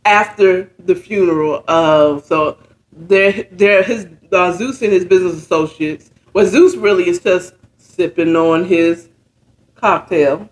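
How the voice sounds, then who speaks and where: A woman informally retelling a story in a halting, conversational way, often checking in with her listeners. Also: fairly low pitch, poor audio. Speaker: storyteller retelling a book chapter.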